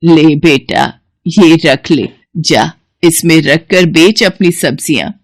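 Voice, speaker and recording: Storybook cartoon granny, performed by a woman: elderly, kindly, slow and drawn-out, gently pleading, close dry sound. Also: low voice, no room sound, full character voice. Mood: kindly, advising. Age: older. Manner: slow, drawn-out, blessing-giving speech. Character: kindly old village granny with magical gifts, gently pleading.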